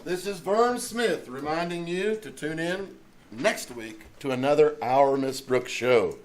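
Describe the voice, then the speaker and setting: A man playing a polished 1950s radio announcer reading scripted sponsor copy in a live stage show. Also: fairly high pitch. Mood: formal sign-off. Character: smooth 1950 radio announcer pitching shampoo. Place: small-town theater stage, performed live.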